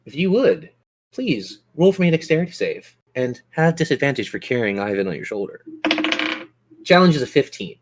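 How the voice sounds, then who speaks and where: A man's voice: medium pitch, big pitch swings, moderate pace. A man narrating a tabletop role-playing game as game master, with theatrical, descriptive storytelling.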